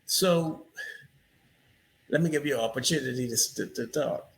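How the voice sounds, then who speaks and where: A man's voice: medium pitch, moderate pace, vocal fry, slight background noise. A man hosting an interview, talking reflectively and telling stories with frequent "you know" asides. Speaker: interview host. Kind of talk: casual interview conversation.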